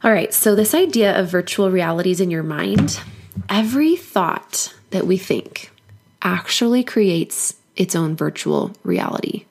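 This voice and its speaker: A woman hoarse from a cold, giving an earnest, encouraging solo podcast talk as a life coach. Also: on the low side, very animated, a little slow, darkish tone.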